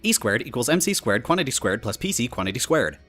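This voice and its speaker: A man plays a nerdy male pony scientist who stays unflustered. His pitch is middling and moves in a lively way as he instructs matter-of-factly in a scripted cartoon science dialogue, with music behind him. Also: quick pace.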